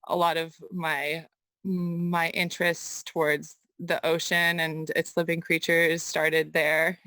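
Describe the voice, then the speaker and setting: A young woman from Kazakhstan, raised in the US, answering interview questions thoughtfully with many pauses and restarts. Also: sits low, sharp tone, no echo. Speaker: guest artist. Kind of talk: casual interview conversation.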